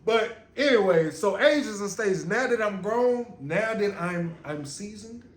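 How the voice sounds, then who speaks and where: A man, talking loud and joking in casual podcast banter. His voice sits a little high, and it echoes around a room with faint noise underneath. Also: expressive, a little slow. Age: 30s. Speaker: podcast co-host.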